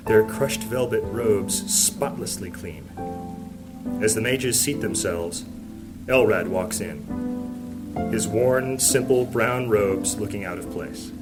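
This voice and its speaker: A man's voice: medium pitch, slow pace, natural voice. A man narrating a scripted fantasy audio drama as a solemn storyteller. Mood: solemn, descriptive. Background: plucked guitar music.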